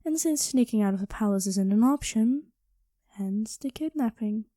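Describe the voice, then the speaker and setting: Dragon queen, ASMR roleplay female performer: coy, possessive, higher-pitched yet velvety and relaxed, slipping into intimate whispers. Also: normal speed, no echo, close to an everyday voice. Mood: tender, possessive. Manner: teasing, coy, intimate, often dropping into whispers. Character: playful possessive dragon queen, affectionate with captive royal.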